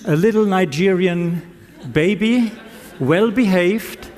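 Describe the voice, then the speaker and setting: Brief: man, non-native English speaker, very slow and deliberate, dry self-deprecating humor, fairly high voice, echoing hall. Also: darkish tone. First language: not English. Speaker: conference speaker. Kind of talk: conference talk. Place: conference hall. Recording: reverberant conference hall.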